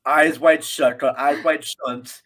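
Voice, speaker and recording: A man appearing as a guest film reviewer, chatting casually in a halting way as he thinks aloud. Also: on the high side, steady tone, fairly bright tone.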